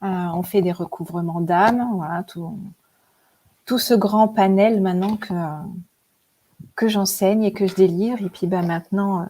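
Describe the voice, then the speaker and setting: Chatty woman in her forties, mellow tone. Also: mid-range voice, a little slow. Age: 40s. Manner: chatty, hesitant with many fillers, laughs often. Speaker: guest, spiritual author and teacher. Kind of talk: casual interview storytelling.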